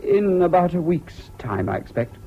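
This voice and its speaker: A man's voice: high-pitched, sing-song, normal speed, creaky, faint music behind, heavily acted. An actor playing a brittle, anguished English serviceman in a 1970s British radio drama. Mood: brittle cheer. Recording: dull, noisy old radio recording.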